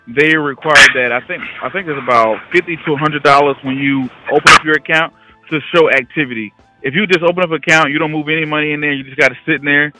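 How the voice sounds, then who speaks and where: Guy chatting casually as a guest educator, crisp bright voice, faint music behind. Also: mid-range voice, no echo, poor audio. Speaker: guest investing educator on a conference call. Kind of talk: casual conversational talk.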